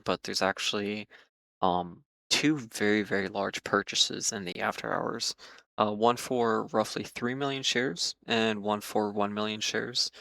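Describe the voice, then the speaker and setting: A man hosting market commentary for viewers, speaking in a measured, cautious way with frequent ums and repeated words while losing his voice. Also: lowish voice, creaky, sharp tone, no room sound. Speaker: market analysis host.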